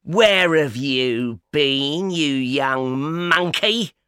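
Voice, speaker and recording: A man's voice: fairly high pitch, expressive, very slow, clean tone, no echo, clean studio sound, heavily acted. A man doing a stern, scolding sister. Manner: sharp, scolding. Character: stern, scolding older sister, tall woman. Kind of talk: audiobook character voice.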